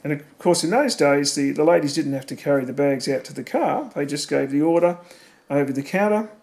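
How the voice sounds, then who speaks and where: Australian man, middle-aged, family storyteller, slightly higher voice, rambling with fillers. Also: a little fast, darkish tone. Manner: rambling, asks leading questions, many fillers. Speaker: family member recording memories. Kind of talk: casual reminiscing conversation.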